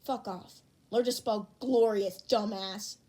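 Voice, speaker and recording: A woman's voice: mid-range voice, steady tone, takes it slowly, dark tone, natural voice. A surly teenage boy, acted by a woman in an audiobook. He is blunt and irritable, snapping scornfully at his friends. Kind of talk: fan fiction audiobook character reading.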